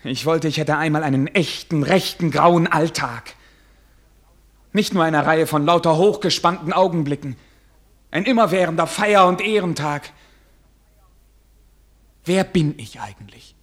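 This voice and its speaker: An actor in a German radio play as a weary, self-doubting prince who speaks formally, with theatrical irony. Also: male voice, a little high, measured pace, pauses often. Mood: anguished. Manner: formal, theatrical, brooding, ironic.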